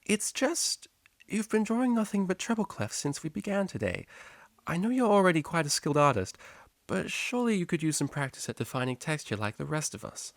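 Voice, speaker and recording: Man voicing a kindly mare teacher, raised high. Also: very animated, fairly quick, dry recording. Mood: hesitant concern. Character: kindly female pony art teacher, gently coaxing.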